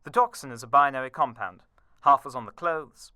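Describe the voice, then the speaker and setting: A man's voice: mid-range voice, fairly quick, bright, forceful tone, dry recording, lightly acted. A man playing a dutiful butler and aide in a radio drama, speaking formally and precisely.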